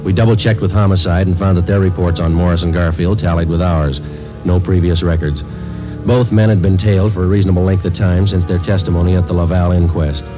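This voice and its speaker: A man playing a terse, weary police sergeant in a deadpan, clipped 1940s radio drama style. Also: low voice, a little fast, lightly acted. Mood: matter-of-fact. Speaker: actor, lead and narrator of police radio drama. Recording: dull vintage radio recording with music.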